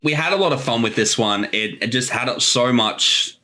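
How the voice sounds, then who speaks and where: A man's voice: medium pitch, lively intonation, normal speed, bright, forceful tone. A man chatting casually as director and co-host of a creator commentary.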